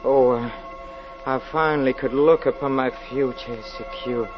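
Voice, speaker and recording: An actor playing a guilt-haunted, gloating wife-killer in 1940s radio horror style, halting and stammering. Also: male voice, mid-range voice, fairly even intonation, over loud music, lightly acted. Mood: feverish, gloating. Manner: halting, stammering, with nervous chuckles and repeated words. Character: guilt-haunted man, a wife's murderer, unhinged and gloating. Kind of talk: 1940s radio horror acting, first-person narration. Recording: muffled old 1940s radio recording.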